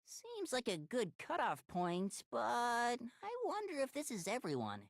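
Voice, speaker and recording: A woman's voice: fairly high pitch, huge pitch swings, measured pace, faint music behind, voice pushed up, full character voice. A woman voicing a questioning student character from a visual novel. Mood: wondering.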